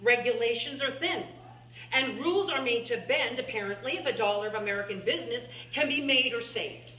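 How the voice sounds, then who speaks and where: A woman's voice: on the high side, sharp tone. A woman playing an earnest scientist and writer, giving a formal, emphatic speech to lawmakers in a dramatic monologue. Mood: pointed, indignant. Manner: deliberate, emphatic, oratorical. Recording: echoey room, distant mic, faint hum.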